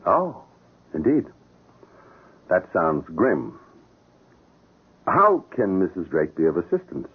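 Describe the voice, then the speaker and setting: Courteous shady gentleman, very raspy deep voice, formal n guarded, tinny old radio drama. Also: wildly swooping pitch, slow pace, long pauses, exaggerated voice. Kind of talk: 1970s radio drama acting.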